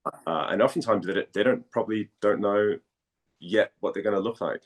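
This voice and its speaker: A man from Denmark chatting casually as an interview guest, haltingly searching for words and repeating phrases. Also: fairly low pitch. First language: Danish.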